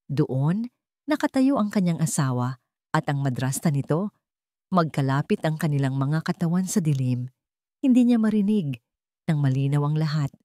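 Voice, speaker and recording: A woman's voice: deep voice, warm tone, no room sound, studio quality. A woman narrator reading a scripted dramatic story.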